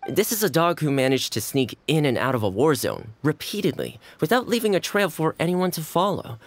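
A man voicing a friendly, easygoing young housekeeper who speaks in a gentle, sociable way, in video game voice acting. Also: on the high side, huge pitch swings, brisk, exaggerated voice.

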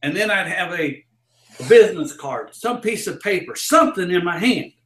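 An older man in his sixties with a Southern American accent, telling stories as an interview guest in a folksy, loud carnival-barker manner, recorded by a far camera in a small office. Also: sits high, animated, moderate pace. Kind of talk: storytelling in a casual interview. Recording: roomy office echo.

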